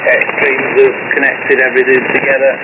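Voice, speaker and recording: A man's voice: medium pitch, completely flat delivery, fast talker. An older man chatting casually as an amateur radio operator over shortwave, hesitant and rambling, often repeating words. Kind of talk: casual ham radio chat. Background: heavy radio static.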